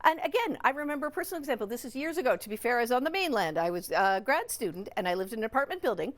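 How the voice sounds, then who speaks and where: Casting: woman, Canadian accent, notably high voice, chatty expert guest who explains through animated everyday examples. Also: rapid-fire. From Newfoundland and Labrador, Canada. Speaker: psychologist, regular TV guest expert. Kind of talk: conversational interview explanation.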